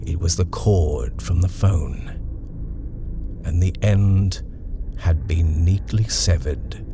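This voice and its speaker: A man reading a horror story aloud in a measured, expressive narration. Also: sits low. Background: music.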